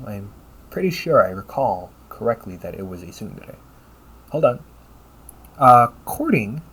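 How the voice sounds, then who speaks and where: A man playing a polite, caring, gently teasing AI companion in an intimate audio roleplay, speaking formally to the listener. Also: mid-range voice, rough recording. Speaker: voice actor. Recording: noticeable background noise.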